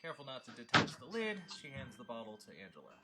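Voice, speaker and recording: A man's voice: medium pitch, huge pitch swings, fast talker, very noisy. A man reading scene headings and stage directions as the narrator of a film script table read. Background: clear background music.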